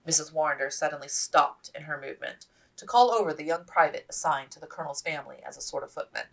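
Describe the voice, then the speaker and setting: A woman's voice: sits low, fast talker, vocal fry, fairly bright tone, distant mic, poor audio. A woman reading her own novel aloud as scripted audiobook narration, slightly off the microphone.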